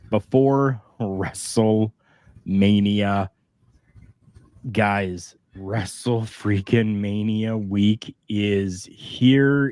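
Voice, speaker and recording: A man hosting a podcast in a slow, unhurried way. His voice sits fairly low and sounds close and dry. He talks easily, with fillers and restarts. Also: a little mellow, no room sound. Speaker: podcast host. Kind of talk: casual wrestling podcast chat.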